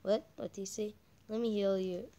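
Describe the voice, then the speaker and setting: A woman doing casual, halting game commentary as a channel host, her voice croaky from a cold. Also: mid-range voice, fairly even intonation, mellow. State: croaky with a cold, coughing and throat-clearing.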